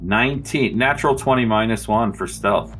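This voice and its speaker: A man's voice: mid-range voice, moderate pace, fairly bright tone, faint music behind. A man talking casually as a player in a tabletop game.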